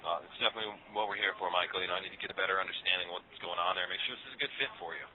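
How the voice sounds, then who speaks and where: A man's voice: sits low, very fast, slight background noise. A man working as a phone sales agent, asking businesslike, probing questions. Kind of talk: phone consultation. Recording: tinny phone line.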